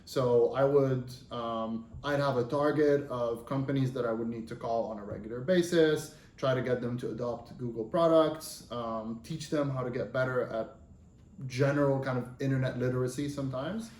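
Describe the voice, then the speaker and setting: A man's voice: mid-range voice, moderate pace, darkish tone. Chatty man in his thirties, echoey room. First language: Arabic. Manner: conversational and loose, with many fillers and rephrasings. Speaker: interview guest. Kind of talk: casual career interview. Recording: roomy echo.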